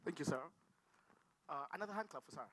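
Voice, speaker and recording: A man's voice: a little high, expressive, fast talker. A man acting as master of ceremonies, informally introducing the next speaker at an event. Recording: clearly noisy live event microphone.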